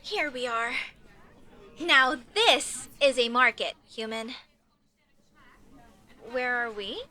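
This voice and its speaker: A woman's voice: on the high side, slow, full character voice. A woman playing an earnest, proud young female elf mage who speaks expressively and intimately to the listener in an audio roleplay. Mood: playful, proud. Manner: expressive, chatty, mock-indignant at times, softening to sincere.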